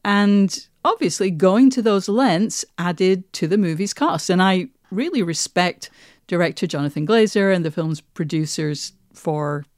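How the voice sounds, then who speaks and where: A woman's voice: lowish voice, measured pace, darkish tone, no echo, studio quality. A woman co-hosting a podcast, speaking in a conversational style. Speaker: podcast co-host.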